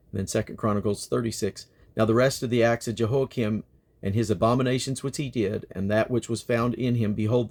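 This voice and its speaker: A man reading scripture aloud in a solemn, declamatory manner, with measured phrasing and plainly spoken references. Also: medium pitch, darkish tone. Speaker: Bible reader and host.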